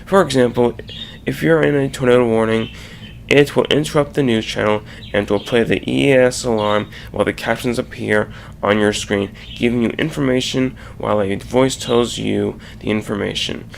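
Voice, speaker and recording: A man narrating a slide presentation in a halting, explanatory way. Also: medium pitch, fairly even intonation, vocal fry. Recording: faint background noise.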